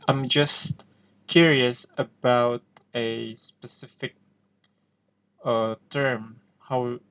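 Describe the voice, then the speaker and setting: Man, Indonesian accent, thinking aloud with fillers, slow delivery, dull lo-fi sound. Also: mid-range voice, a bit bright. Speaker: host. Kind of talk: casual explainer talk. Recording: dull lo-fi recording.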